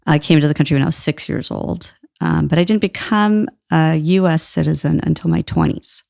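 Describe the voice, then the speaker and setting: A woman's voice: low-pitched, normal speed, vocal fry, a little mellow, no echo, studio quality. A middle-aged woman from Brazil, a lawyer guest on an interview podcast, speaking thoughtfully and laughing easily. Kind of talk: casual interview talk.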